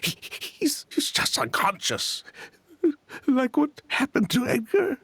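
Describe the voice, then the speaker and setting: A man voicing a grief-stricken young man in a video game, crying and desperate, with a husky, strained voice. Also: extremely high pitch, huge pitch swings, slow pace, a bit bright, dry recording, heavily acted. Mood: distraught.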